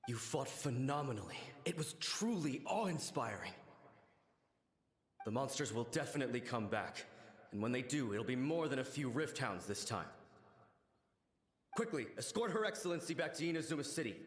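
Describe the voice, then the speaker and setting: A man's voice: mid-range voice, sing-song, normal speed, natural voice. A man voicing a brave, dutiful samurai warrior in a video game, speaking in a solemn, formal way. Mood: solemn resolve. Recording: echoey reverberant hall, music behind.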